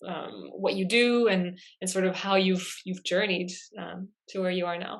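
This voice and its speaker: A woman interviewer prompts her guest with brief questions. Her voice is fairly low and stays flat and even. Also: fairly quick. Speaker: interviewer host. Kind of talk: conversational interview.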